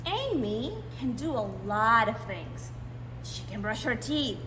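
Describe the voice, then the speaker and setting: A woman in her thirties reads a picture book aloud to young children as a library storyteller, stretching key words for expressive emphasis. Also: fairly high pitch, slow pace. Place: indoors in a library, seated. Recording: roomy echo, clear background noise.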